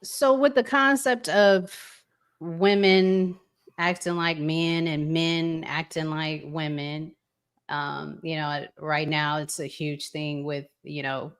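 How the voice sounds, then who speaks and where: A woman speaks as a podcast host at a markedly slow pace. She puts her questions conversationally and deliberately, building them up piece by piece. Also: low-pitched, little pitch movement, clear, resonant tone. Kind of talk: conversational interviewing.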